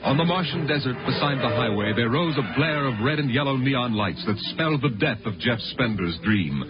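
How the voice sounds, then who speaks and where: A man's voice: mid-range voice, lively intonation, a little fast. A man narrating a scripted 1950s radio drama in a dramatic, literary storytelling manner. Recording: muffled old radio broadcast, loud music.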